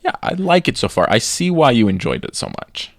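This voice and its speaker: A man co-hosting a casual gaming podcast, telling his gaming stories in an animated way and acting out his own reactions. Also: medium pitch, fairly quick, creaky, no room sound. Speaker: podcast co-host.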